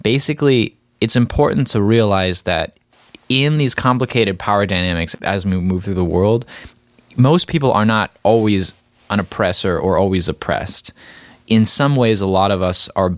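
Deep-voiced man in thoughtful podcast chat. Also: no echo. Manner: thoughtful, measured, brief agreeing interjections. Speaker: podcast co-host. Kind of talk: casual chat.

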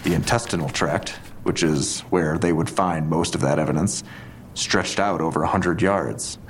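An actor playing a skeptical police detective in an audio drama, talking in a terse, dry, deliberate way. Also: male voice, a bass voice, steady tone, slight background noise. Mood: musing.

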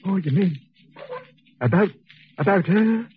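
An actor playing a sick, uneducated convict in a 1940s radio drama, suspicious and pleading, fearful and close to delirium. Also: male voice, high voice, animated, unhurried. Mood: anxious, pleading. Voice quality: coughing, agitated voice. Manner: uneducated working-class grammar, pleading. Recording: noisy, muffled 1940s radio recording.

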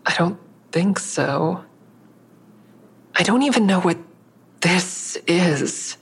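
A woman's voice: on the low side, animated, slow pace, husky, heavily acted. An actress playing an anxious, defensive woman under interrogation in an audio drama, guarded and earnest. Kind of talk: audio drama acting with first-person narration.

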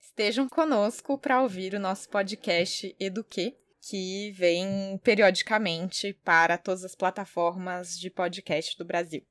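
A woman's voice: medium pitch, steady tone, unhurried, hardly any pauses, no room sound. A woman with a Brazilian Portuguese accent presenting a podcast as co-host. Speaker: podcast co-host, education campaign coordinator.

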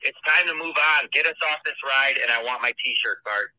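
A man's voice: mid-range voice, a little fast, pushed and bright, no room sound, rough recording. A man leaving a casual sports rant as a listener voicemail, blunt, mocking and slangy, with some swearing. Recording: dry voicemail phone recording.

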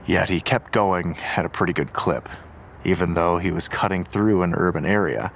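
An actor playing a seasoned homicide detective, calm and probing as he questions a younger officer. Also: sits low, normal speed, gravelly, a little hiss or hum. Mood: steady, weary. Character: seasoned male homicide detective, weary, measured. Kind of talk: audio drama acting.

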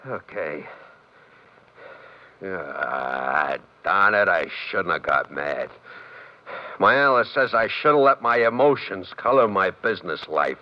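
A man's voice: low voice, lively intonation, slow. An actor playing a touchy, menacing thug in a 1950s radio crime drama, with slangy tough-guy talk and mock-genteel airs. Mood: sulky. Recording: muffled, hissy old radio broadcast.

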